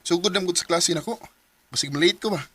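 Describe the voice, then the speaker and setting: An actor playing a cheerful teenage son who drives a motorbike taxi, talking in a lively, affectionate way and laughing easily in a radio drama. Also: male voice, high-pitched, quick pace, breathy edge. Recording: noisy background.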